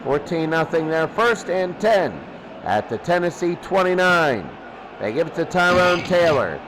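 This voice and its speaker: A man doing excitable play-by-play commentary of a football game, repeating phrases and drawing out his calls. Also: on the high side, a little slow, background noise. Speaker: sports commentator.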